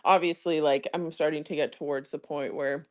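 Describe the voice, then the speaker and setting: A man's voice: on the high side, fairly even intonation, normal speed. Man, talk show host, casual live stream, hesitant, many fillers, thinking aloud.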